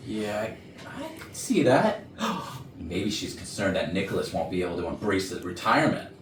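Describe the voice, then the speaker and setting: A man's voice: low voice, sing-song, moderate pace. A man acting a clueless, blustering IT executive who muddles his words in a comedy staged reading. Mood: speculating. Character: clueless blustering male IT executive, a know-it-all. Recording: noisy roomy rehearsal, far from mic.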